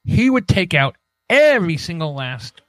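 A man co-hosting a podcast in casual banter, crude, profane and quick to argue. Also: on the high side, very animated, dry recording. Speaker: podcast co-host and producer. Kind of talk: casual banter about wrestling and movies.

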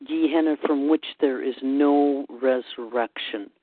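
A woman hosting an informal Bible study chat, unhurried and thinking aloud with frequent pauses and ums. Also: rumbling low, no echo. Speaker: host. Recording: dry, tinny phone-like line.

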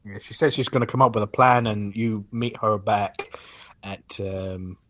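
A man's voice: fairly low pitch, steady tone, measured pace, a bit bright, no echo. A man on a podcast panel, casually explaining the story of a game.

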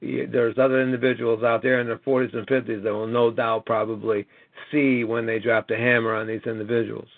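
A man's voice: medium pitch, level tone, a little fast, vocal fry, no echo. Older American man, tinny phone line. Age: late 60s. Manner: emphatic, repeatedly checking with "okay?". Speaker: caller. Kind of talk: rambling earnest conference-call talk. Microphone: telephone.

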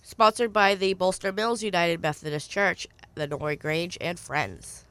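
Woman, radio host, stuffy with a cold, low-ish voice, reads phone numbers slowly. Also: a bit bright. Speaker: radio swap-show host. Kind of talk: call-in radio hosting, reading listings.

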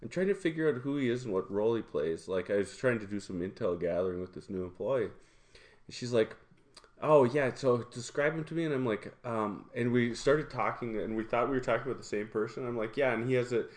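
A man's voice: medium pitch, brisk. A man with an Upper Midwest accent, co-hosting a casual podcast chat around a table and rambling with many restarts. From Minnesota. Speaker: podcast co-host.

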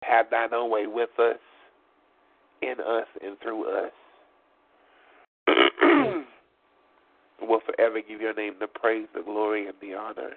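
A man's voice: fairly low pitch, fairly even intonation, slow pace, pauses often. A man preaching at a live church service, praying in rhythmic repeated phrases and joking with the congregation, his voice stuffed up. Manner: chatty and joking between songs. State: congested, stopped up. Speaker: prophet and preacher. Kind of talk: fervent live church prayer and exhortation. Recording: tinny phone-like livestream audio.

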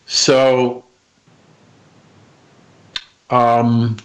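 A man's voice: mid-range voice, completely flat delivery, drawn-out words, low-quality recording. A man hosting an interview, asking questions hesitantly and restarting them. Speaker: interview host.

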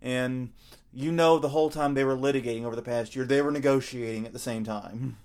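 A man's voice: medium pitch, steady tone, a little fast, darkish tone. A man co-hosting a casual podcast, talking things over with frequent fillers and self-corrections. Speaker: podcast co-host.

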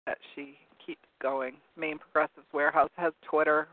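A hoarse man losing his voice, hosting a call-in talk show in a rambling, casual way while reading from web pages. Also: on the high side, little pitch movement, normal speed. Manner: rambling, searching for words with many ums. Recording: narrow phone-like line, dry.

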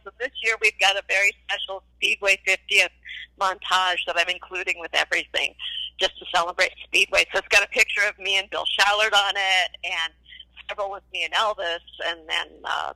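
A woman's voice: medium pitch, sharp tone, no room sound. An older woman chatting as an interview guest over a phone-like line, effusive and repeating phrases for emphasis. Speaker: interview guest, former child actress. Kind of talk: casual interview chat. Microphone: remote call.

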